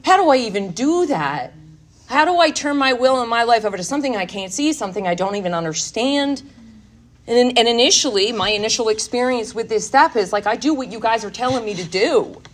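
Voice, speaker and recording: A woman's voice: on the high side, expressive, a little fast. A woman speaking candidly and emphatically at a recovery workshop, giving a personal testimony talk to an audience.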